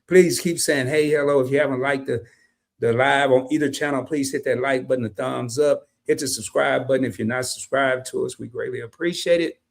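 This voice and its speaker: A man co-hosting a casual live stream, reading out viewer comments and telling lively stories with quick asides. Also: mid-range voice, gravelly. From family from Arkansas and Chicago, lived in California. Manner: animated storyteller who drops into quick asides and reads comments in voices. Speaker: co-host of a live discussion stream.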